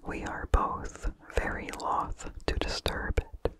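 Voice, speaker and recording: An ASMR reader delivers an old horror tale entirely in whispers. The reading is unhurried and measured, soft and breath-led from one phrase to the next. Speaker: ASMR narrator.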